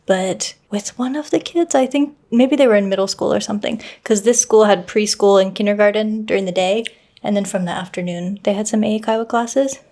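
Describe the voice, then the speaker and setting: A woman hosting a podcast, talking in a relaxed, conversational way and laughing lightly. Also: medium pitch, a little fast. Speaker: podcast host. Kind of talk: casual podcast chat. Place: Japan.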